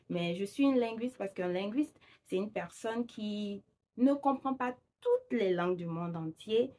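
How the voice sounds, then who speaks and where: A woman's voice: mid-range voice, darkish tone. Female vlogger, upbeat direct address, casual tone, occasional English phrases. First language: Fon or Gun. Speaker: language vlogger. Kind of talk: casual direct-to-camera talk.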